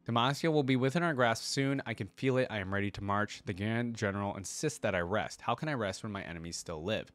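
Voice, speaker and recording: Brutal undead warrior read by a man, low voice, weary and bloodthirsty, terse storybook monologue over faint music. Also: fast, hardly any pauses, a bit bright, no echo, natural voice. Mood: grim, restless. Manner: terse, grim declarations. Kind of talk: dramatic first-person story reading.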